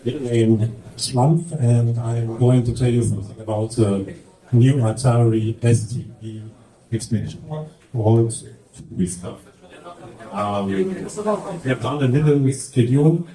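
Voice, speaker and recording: A middle-aged man with a German accent, presenting slides at a conference in halting English with many fillers. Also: mid-range voice, warm tone. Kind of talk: presenting slides at a tech talk. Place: large conference hall with projector. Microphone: handheld mic. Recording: echoey hall, mic at distance.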